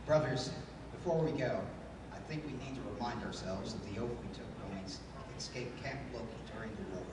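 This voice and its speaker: An actor playing a calm, commanding, idealistic adventure hero in 1930s radio style, speaking in a formal, measured, declamatory way. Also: male voice, mid-range voice, close to an everyday voice. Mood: solemn. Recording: echoey hall from far off, background noise.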